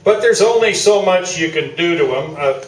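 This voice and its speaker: A man performs a self-satisfied veteran sports columnist in a radio play staged live. The voice is fairly high and pompous, oozing false modesty and mock sincerity. It is picked up from a distance in a reverberant space. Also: lively intonation, normal speed. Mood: smug, mock-sorrowful. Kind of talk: staged radio play interview before an audience. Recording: roomy distant stage recording with noise.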